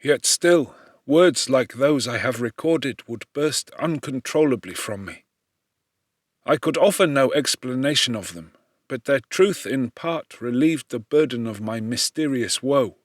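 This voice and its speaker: A man narrating a classic novel as an audiobook, in a measured, expressive, theatrical manner. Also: mid-range voice, dry recording, clean studio sound. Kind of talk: dramatic scripted literary narration.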